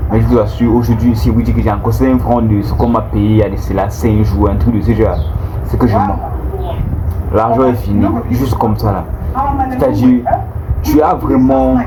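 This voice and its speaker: A young man talks to camera at home. He is earnest and emphatic and often repeats words while he searches for them. He records on a device's built-in mic with a TV playing in the room. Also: on the low side. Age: 20s. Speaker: vlogger. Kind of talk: casual talk-to-camera monologue. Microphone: built-in device mic, no separate mic. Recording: noisy home recording with TV sound behind.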